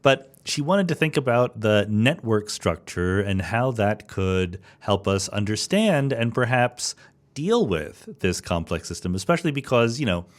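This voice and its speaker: A man's voice: lowish voice, lively intonation, unhurried. A man speaking as a podcast host in an informal, explanatory, conversational manner. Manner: informal, explanatory, with rhetorical check-in questions. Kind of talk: conversational podcast introduction and interview.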